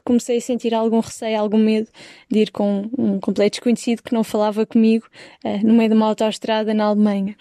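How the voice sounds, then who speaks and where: Mellow-voiced Portuguese woman telling a story. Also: fairly high pitch, no room sound, studio quality. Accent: European Portuguese. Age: 20s. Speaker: guest sharing a travel story. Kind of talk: recorded personal testimony.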